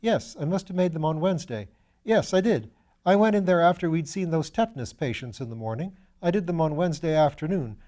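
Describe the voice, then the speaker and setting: A man reads out an eager young upper-class student in a storybook. He is easily flustered and runs himself down in old-fashioned slang. The voice rides high and stays mellow. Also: brisk, natural voice. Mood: recalling, eager. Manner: excitable, self-deprecating, old-fashioned slangy speech.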